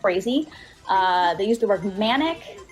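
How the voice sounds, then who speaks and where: A woman's voice: mid-range voice, lively intonation, measured pace, soft background music, rough recording. A woman voice actor talking casually as an interview guest, often giggling as she speaks.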